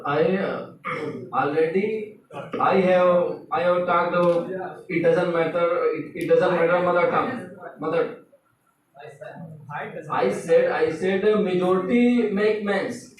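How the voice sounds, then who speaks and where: Young man, slow hesitant speech, high voice, echoey far-off sound. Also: steady tone. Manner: slow, hesitant, many uh fillers. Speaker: student debater. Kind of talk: classroom English debate. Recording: echoey room, far from mic.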